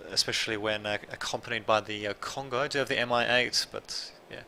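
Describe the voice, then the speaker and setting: A man with a light Australian accent talks through a real-time strategy match in a loose, rambling way, often correcting himself mid-sentence. His voice has a bright, forward edge, and a faint hiss sits under it. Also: medium pitch, fairly even intonation, fast. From Serbia, raised in Australia. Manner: rambling, self-correcting, chatty asides to viewers. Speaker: gameplay commentator. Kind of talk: casual live game commentary.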